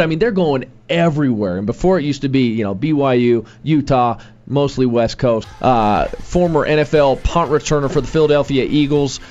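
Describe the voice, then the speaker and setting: A man's voice: mid-range voice, darkish tone, lo-fi sound. A young man hosting sports talk, chatting casually with many fillers and restarts. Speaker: sports radio host.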